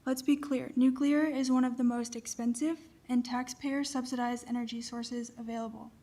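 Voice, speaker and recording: A woman's voice: fairly high pitch, steady tone, normal speed, mellow. A young woman from Iowa giving an earnest prepared public comment at a county meeting. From Des Moines, Iowa. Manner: earnest, reads from notes.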